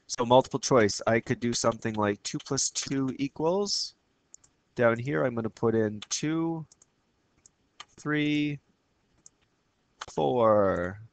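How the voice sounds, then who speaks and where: A man who teaches, talking casually through a screen-recorded software tutorial, his voice a bit raspy from a cold, with plenty of fillers and restarts. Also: fairly low pitch, dry recording. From Canada. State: has a cold, slightly raspy.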